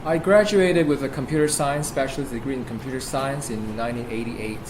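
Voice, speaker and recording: A man's voice: mid-range voice, a little fast. A man, answering interview questions informally as a guest speaker. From Hong Kong. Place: meeting room with an audience. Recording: echoey room with clear background noise.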